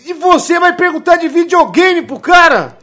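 A man from Brazil hosting a comedy radio show, loudly exasperated and scolding his co-host. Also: very high voice, expressive, moderate pace, faint music behind.

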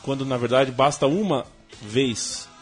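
Man, from Brazil, sports talk host, mid-pitched, rambling, faint music bed. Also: measured pace. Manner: rambling, restarts phrases often. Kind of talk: casual sports chat.

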